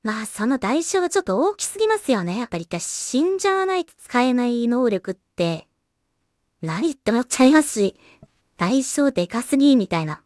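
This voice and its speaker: A woman's voice: a little high, very animated, brisk, darkish tone, no room sound, studio quality. A woman giving casual production commentary, thinking aloud as she works as a solo animation creator and voice actor.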